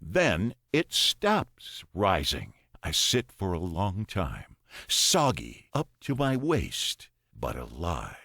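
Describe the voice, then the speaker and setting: A man reading a first-person story as an audiobook narrator, deliberate and dramatic, pausing between phrases. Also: sits low, wildly swooping pitch, vocal fry, pushed and bright, slightly rough, no echo, studio quality.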